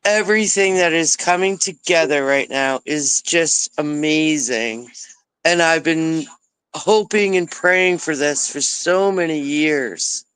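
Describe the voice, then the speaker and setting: A man's voice: fairly high pitch, bright, forceful tone, clean tone, dry recording, low-quality recording. A man calling in to a chat show, earnest and effusive, speaking about spiritual connection. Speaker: caller. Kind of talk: casual call-in chat.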